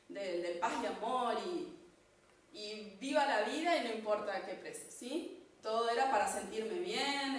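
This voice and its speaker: A woman from Argentina with an Argentine accent, giving a church teaching talk in an unhurried way, with fillers and restarts. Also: medium pitch. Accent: Argentine Spanish. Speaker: church speaker. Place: church hall. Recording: echoey hall, distant mic, faint noise.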